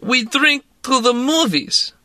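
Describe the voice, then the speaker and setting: Man doing a drunken young Russian actress, Russian accent, broken English, slow and dramatic, high and bright. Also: dry recording, exaggerated voice. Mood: tipsy. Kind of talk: audiobook character voice.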